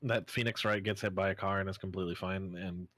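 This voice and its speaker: A man's voice: low-pitched, fairly even intonation, fast talker, a bit bright. A man chatting casually about video games with friends. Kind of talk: casual gaming chat.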